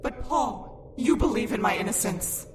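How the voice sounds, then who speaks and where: A woman's voice: on the high side, animated, normal speed, echoey room, long reverb tail, noisy background. A woman playing a vengeful, fatalistic colonial ghost possessing a wife, speaking archaically in old-time radio horror style. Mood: eerie, fatalistic. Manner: archaic, theatrical speech.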